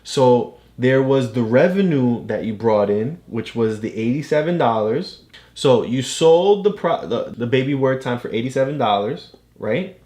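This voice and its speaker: A woman's voice: extremely low pitch, expressive, moderate pace, dark tone. A woman casually explaining things to a child as a tutor, encouraging and often checking understanding with questions. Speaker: parent tutor guiding a child's reading.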